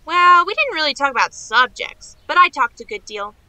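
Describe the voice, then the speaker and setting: A woman's voice: sits high, very animated, moderate pace, sharp tone, no echo, heavily acted, low-quality recording. A woman playing a naive, eager young niece in a radio play, chattering on in rambling run-on sentences. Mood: earnest, excited.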